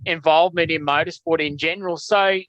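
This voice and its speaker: A man hosts a relaxed interview, pausing on ums as he asks questions. His voice is a little high and bright. The dry, dull lo-fi recording takes the shine off the top. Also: dry recording. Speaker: podcast host. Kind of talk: casual interview. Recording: dull lo-fi sound.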